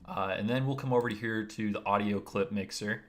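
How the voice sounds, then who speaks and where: A man with a naturally deep voice, explaining his video editing steps one by one in a casual, rambling livestream. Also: fairly even intonation, moderate pace. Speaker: streamer.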